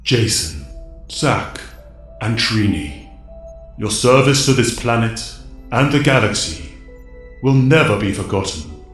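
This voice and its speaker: A man playing an ancient cosmic wizard mentor in an audio drama, speaking in a grave, formal and measured way. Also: fairly low pitch, expressive, fairly bright tone. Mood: solemn, grateful. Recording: echoing chamber with faint music.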